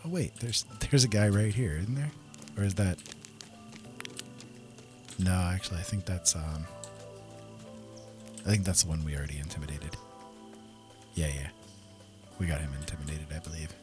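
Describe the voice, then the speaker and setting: A man with a low voice talks through a video game in a casual, easygoing way, thinking out loud. Game music plays clearly behind him. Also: moderate pace, pauses often. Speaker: gaming video host. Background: game soundtrack music.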